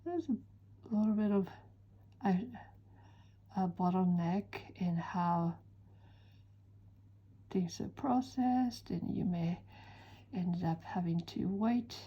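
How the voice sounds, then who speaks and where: A woman from western Japan with a Japanese accent, chatting in an unhurried, soft-spoken way with frequent pauses while she draws. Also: lowish voice, breathy edge, dull audio. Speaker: art instructor. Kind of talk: relaxed chat while drawing.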